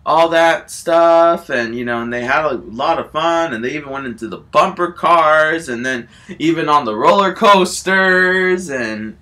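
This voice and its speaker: Male amateur storyteller: bright, slightly high voice, rambling off-the-cuff comic tale, excitable and repetitive. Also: measured pace. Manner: excitable, giggly, repetitive filler phrases like "you know" and "all that stuff". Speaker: amateur storyteller in a homemade video.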